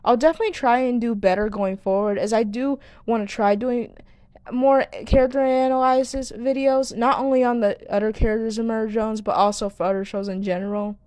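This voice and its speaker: A woman's voice: fairly high pitch, fairly even intonation, brisk, darkish tone, smooth, dry recording. A woman giving video essay commentary to viewers, speaking earnestly and now and then stumbling over words. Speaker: video creator and host.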